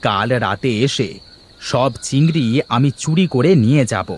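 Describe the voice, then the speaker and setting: A man's voice: mid-range voice, lively intonation, moderate pace, a little hiss or hum, close to an everyday voice. A man playing a greedy, envious village neighbour in a fairy-tale cartoon, muttering schemes to himself. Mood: scheming. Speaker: cartoon voice actor.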